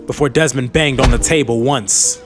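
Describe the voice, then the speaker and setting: A man's voice: mid-range voice, moderate pace, loud music behind the voice. A man reading scripted story narration as the narrator of a fantasy audiobook.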